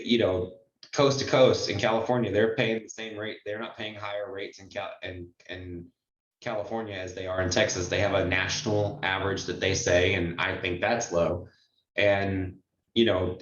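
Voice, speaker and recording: A man with a fairly low voice hosts an advice session over an online call. He explains things conversationally and with emphasis. The sound is roomy and somewhat dull. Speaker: host and notary trainer taking questions. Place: group video call.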